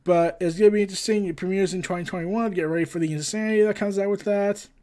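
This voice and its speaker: A man hosting a casual entertainment news roundup, rambling and halting, often tripping over his words and losing his thread. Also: high-pitched, steady tone, warm tone. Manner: scattered, halting, often tongue-tied and losing track. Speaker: host of a comics and entertainment news show.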